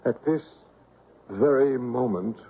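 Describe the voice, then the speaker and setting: A man's voice: mid-range voice, takes it slowly, breathy edge. An actor playing an earnest, solemn man leading a séance in an old radio drama, intent and probing. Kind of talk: old-time radio drama acting and narration. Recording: narrow, noisy old radio recording.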